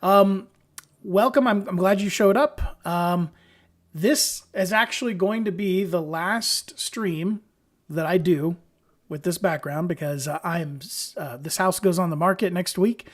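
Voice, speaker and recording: A man with a hoarse voice from allergies, hosting a casual live-streamed software tutorial from home. He is chatty and upbeat and talks straight to his viewers. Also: sits high, unhurried. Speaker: software trainer hosting a webinar.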